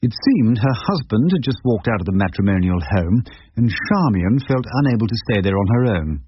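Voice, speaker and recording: An actor playing a wry, irreverent older English barrister, droll and wordy, in radio drama dialogue and narration. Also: male voice, lowish voice, expressive, moderate pace, lightly acted. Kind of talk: radio drama acting and first-person narration. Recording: muffled radio broadcast, faint noise.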